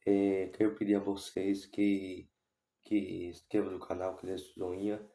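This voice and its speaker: A young man with a Brazilian accent, hoarse from a cold, chatting casually about football in a halting way with many restarts. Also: low-pitched, monotone, mellow. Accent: Brazilian Portuguese. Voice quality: gravelly. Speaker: football vlogger. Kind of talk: casual football commentary to camera. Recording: echoey room.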